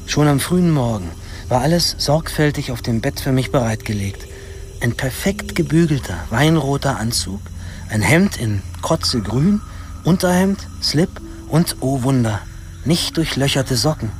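An actor playing a wry, self-important first-person narrator in a radio drama, dry and ironic. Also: male voice, medium pitch, animated, a little slow, a little hiss or hum, lightly acted. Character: wry male narrator looking at his own youth, self-important.

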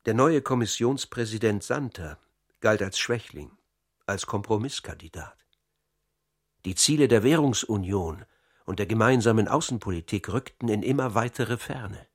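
An insider laying out a conspiracy in a radio crime drama. His voice is somewhat low and husky, his account measured and sober, sinking quieter at the gravest points. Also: animated. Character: man confessing an insider conspiracy, calm. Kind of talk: radio drama, confessional narration.

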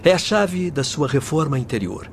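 An actor with a Brazilian accent playing an earnest, firm young doctor giving solemn counsel in a radio drama. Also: male voice, on the high side, expressive, normal speed, creaky, slight background noise. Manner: solemn, exhorting.

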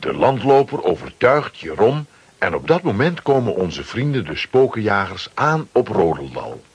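A man's voice: medium pitch, very animated, normal speed, breathy edge, highs cut off. A man reading scripted narration for a children's radio play.

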